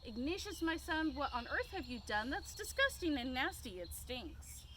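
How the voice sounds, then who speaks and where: A woman's voice: high-pitched, big pitch swings, moderate pace, bright, forceful tone, full character voice. A woman voicing a dramatic, exclaiming mother in a children's storybook, with exaggerated delivery. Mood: disgusted. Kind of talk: children's picture-book read-aloud. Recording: clear outdoor background noise.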